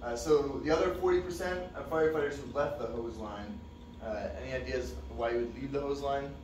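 A young man giving a fire service training talk in a relaxed, conversational way with many fillers, recorded from a distant camera in a dim classroom. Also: mid-range voice, fairly quick, noisy background. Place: dim training room with projector screen. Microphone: far camera. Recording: echoey room, distant mic.

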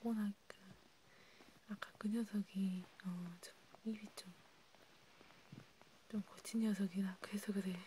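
Casting: woman for whispered ASMR role play, soft dark timbre, breathy, close and conversational, with faint noise behind. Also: medium pitch. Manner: intimate, halting, informal one-sided conversation. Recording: steady background noise with clicks.

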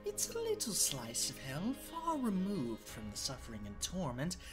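A woman's voice: fairly low pitch, wildly swooping pitch, normal speed, over music. A woman playing a dramatic, wry storyteller, reading scripted narration for a radio play.

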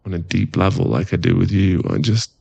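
A man acting as an affectionate werewolf boyfriend in a close ASMR roleplay. The voice is low and gravelly, very mellow and relaxed, with soft, coaxing, halting phrases. It sits dry and close to the mic. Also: no echo, heavily acted. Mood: apologetic. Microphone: close microphone.

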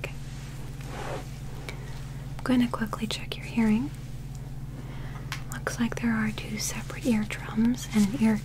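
A young woman whispering gently and unhurriedly in an ASMR medical roleplay, playing an examiner close to the microphone. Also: mid-range voice, rough recording. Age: young adult. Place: small indoor set.

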